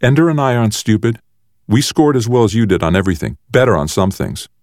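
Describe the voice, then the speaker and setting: A man voicing a bright, defiant young sister in an audiobook, sharp-tongued and accusing as she stands up to a bully. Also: on the low side, big pitch swings, fast talker, no echo, clean studio sound, pitched above the performer's own voice. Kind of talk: audiobook character voice.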